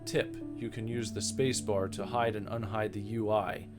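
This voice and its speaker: A man's voice: fairly low pitch, flat delivery, normal speed, over loud music. A man reading out scripted narration of a visual novel in a dramatic reading.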